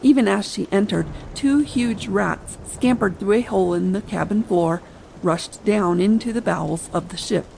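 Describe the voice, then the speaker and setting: A woman with marked vocal fry narrates an adventure story as a dramatic bedtime audiobook. Her pitch sits in the middle range for a woman. Faint sea ambience runs behind her reading. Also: normal speed, a little mellow, a little hiss or hum, low-quality recording. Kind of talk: dramatic audiobook reading for late-night listening.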